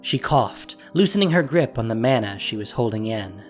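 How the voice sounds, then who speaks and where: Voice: medium pitch, expressive, normal speed, faint music behind. A narrator reading a scripted fantasy story in a dramatic audio drama style.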